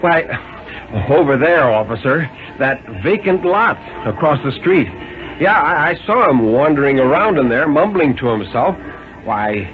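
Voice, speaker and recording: A man voicing an excitable, plain-spoken street witness with a hesitant, colloquial manner in a radio story. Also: a little high. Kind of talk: 1950s radio dramatic reading. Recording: narrow-band, noisy old radio recording.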